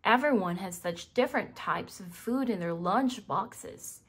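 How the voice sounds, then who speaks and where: A woman reading a children's book aloud in an expressive way, speaking directly to young listeners. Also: mid-range voice, measured pace, husky. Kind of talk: scripted read-aloud narration for young children.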